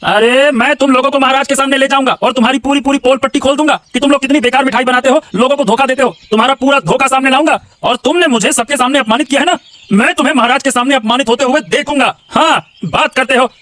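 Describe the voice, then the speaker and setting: Very high-pitched, blustering cartoon minister. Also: male voice, steady tone, fast talker, a bit bright. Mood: threatening. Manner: blustering, scolding, repeats himself. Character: scheming, indignant royal minister, male, petty and boastful. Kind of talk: exaggerated Hindi cartoon voice acting.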